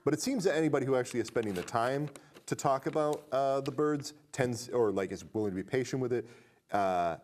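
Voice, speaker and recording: A man hosting a casual movie review podcast, talking conversationally and reading aloud with animated emphasis. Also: mid-range voice, brisk. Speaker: podcast host.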